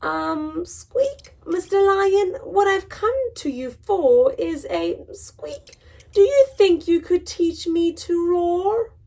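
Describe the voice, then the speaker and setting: A woman's voice: very high voice, very animated, slow pace, a little mellow, voice pushed up, full character voice. A woman voicing a small, shy but hopeful male mouse in a storybook, with an earnest, polite and timid manner.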